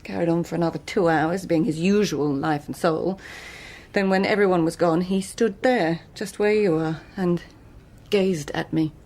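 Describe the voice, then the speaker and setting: Actress doing spy wife, lowish voice, composed but defensive, radio drama. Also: female voice, darkish tone, natural voice. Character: guarded, defensive British spy's wife under questioning.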